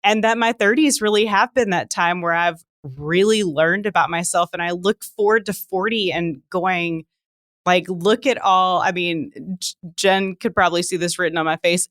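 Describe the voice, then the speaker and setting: A woman's voice: on the low side, fairly even intonation, normal speed, a bit bright, no echo, clean studio sound. A woman in her late thirties chatting casually on a podcast, telling stories by acting out her own thoughts. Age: late 30s. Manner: chatty, self-quoting storytelling.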